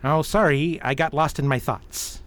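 A man's voice: on the high side, fairly even intonation, normal speed, a bit bright, lightly acted. A man playing a haunted, stubborn detective and war veteran in a radio drama, guarded and hesitant in manner. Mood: guarded, pleading. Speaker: lead actor in an audio drama. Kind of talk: radio theatre acting.

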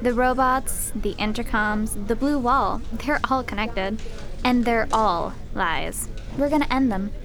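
An actress playing an intense, assured young student rebel leader in an audio drama, earnest and emphatic. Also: female voice, on the high side, measured pace, fairly bright tone, faint music behind. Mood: intense, resolute.

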